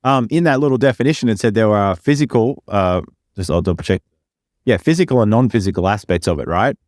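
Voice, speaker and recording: Male podcast co-host, low voice, deadpan note-reading and loose banter, dry close sound. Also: fast, no room sound. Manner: reads text aloud stiffly with slips, then relaxed and joking. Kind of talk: reading a definition aloud, then casual chat.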